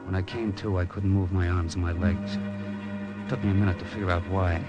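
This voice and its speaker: A man's voice: deep voice, level tone, moderate pace, lightly acted. A male actor playing a tough, cynical American hard-boiled hero and narrator in a 1940s radio drama. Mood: tense narrating. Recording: old radio recording with music behind.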